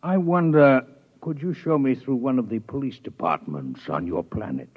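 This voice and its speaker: An actor playing a formal, detached six-legged alien scientist in a 1950s radio drama. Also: male voice, medium pitch, lively intonation, moderate pace, husky. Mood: probing. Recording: muffled old radio broadcast.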